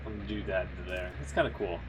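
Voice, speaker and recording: A man's voice: low voice, level tone, racing, background noise. A man giving casual livestream game commentary, thinking aloud in an informal way with muttered asides. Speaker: livestream host, gamer. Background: background music.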